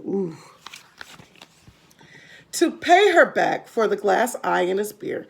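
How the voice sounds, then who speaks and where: Female children's storyteller: unhurried, creaky, expressive, with simple explanatory asides. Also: mid-range voice. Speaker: read-aloud storyteller.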